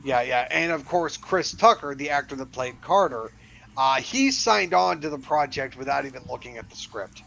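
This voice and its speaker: A man's voice: mid-range voice, lively intonation, normal speed, creaky, pushed and bright, poor audio. A man co-hosting a podcast in casual chat, rambling with restarts and swearing. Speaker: podcast co-host.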